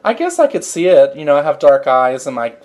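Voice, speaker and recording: A man's voice: on the high side, sing-song, a little mellow. A man co-hosting a casual podcast, talking in a giggly, campy way and drawing out his words. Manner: giggly, campy, drawn-out delivery. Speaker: podcast co-host. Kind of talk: casual banter.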